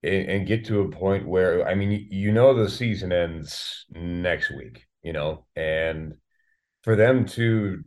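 A man speaking casually as an interview guest, halting and reflective as he thinks aloud. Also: deep voice, darkish tone. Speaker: guest, radio play-by-play announcer.

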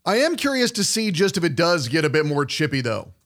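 A man's voice: fairly high pitch, very animated, fairly quick, a bit bright. A man hosting a sports show, giving fluent, conversational commentary in a broadcast style. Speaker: sports podcast host.

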